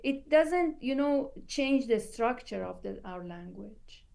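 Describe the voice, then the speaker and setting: A woman scholar whose first language is Persian, speaking in a measured way and explaining her view through an extended comparison in a roundtable discussion. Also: a little high, expressive, gravelly, darkish tone. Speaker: scholar guest.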